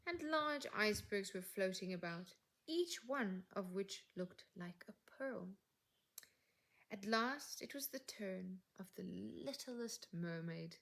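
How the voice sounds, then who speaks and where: A woman with a medium-pitched voice tells a fairytale in an expressive storytelling style. She reads very slowly and deliberately, shaping phrases dramatically and letting word endings fall soft and breathy. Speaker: English teacher reading stories.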